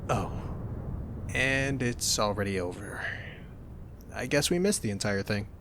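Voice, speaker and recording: A young man with a lowish voice talks softly and gently, teasing in a playful way. It is an intimate ASMR roleplay delivery. A steady hiss runs under the recording. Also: unhurried. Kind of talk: soft-spoken ASMR roleplay.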